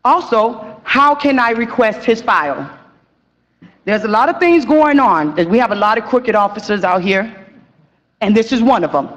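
A woman's voice: a little high, expressive, normal speed. A young woman speaking at a podium in a council chamber, pressing urgent, repeated questions. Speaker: victim's sister at council meeting. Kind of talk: public comment speech. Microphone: podium mic. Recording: dull meeting audio with long hall reverb.

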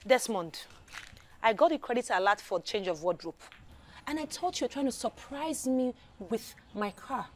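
An actress playing a demanding, confrontational wife in a comedy skit. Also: female voice, on the high side, normal speed, slight background noise, close to an everyday voice. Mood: taunting loud. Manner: sassy and confrontational. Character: demanding, loud-mouthed young wife.

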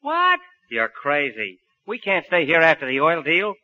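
Nervy slangy american sidekick, 40s radio, high jumpy voice, old muffled sound. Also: male voice, huge pitch swings, unhurried, bright, forceful tone, heavily acted. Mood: puzzled. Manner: slangy, fretful. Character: excitable, anxious male con-man sidekick, loyal and streetwise. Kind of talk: 1940s radio acting. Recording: dull vintage 1941 radio recording.

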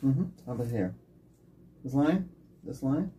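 A middle-aged man plays a doctor in an ASMR exam roleplay. His medium-pitched voice moves through short, reassuring questions and simple instructions. The recording is clearly noisy, with clicking sounds. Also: expressive, measured pace, dark tone. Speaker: ASMR creator playing an ER doctor. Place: small indoor room set up as a clinic.

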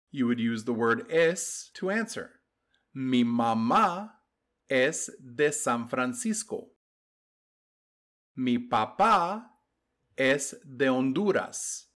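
A man narrates a beginner lesson in an unhurried, measured voice of middle pitch. He models each phrase slowly and clearly so learners can repeat after him. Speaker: language teacher.

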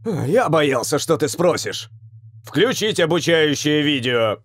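An actor playing a composed, commanding football coach in a dubbed cartoon, terse and authoritative. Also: male voice, fairly high pitch, big pitch swings, slow, a bit bright, no room sound, studio quality, exaggerated voice. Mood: serious.

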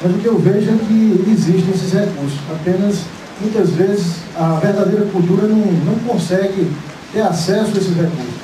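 A man's voice: high-pitched, normal speed, recorded from a distance, noisy background. A middle-aged man in an audience speaks earnestly and with indignation into a handheld mic in a large auditorium. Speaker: audience member asking a question. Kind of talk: public question at a lecture. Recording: echoey hall, taped from afar.

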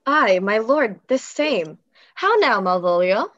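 Noblewoman for a Shakespeare comedy, played by a woman: dignified, fairly high voice, slightly muffled call audio. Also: big pitch swings, slow, a bit bright, no echo, heavily acted. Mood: gracious. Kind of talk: live table read of Shakespearean verse drama. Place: indoor room, video call. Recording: dull video-call audio.

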